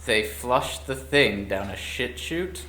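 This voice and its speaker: A man playing an insolent lone special investigator in an audio drama, with a sardonic, mocking deadpan. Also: medium pitch, takes it slowly. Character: lean, predatory male special investigator, insolent lone operative. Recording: echoey room, faint noise.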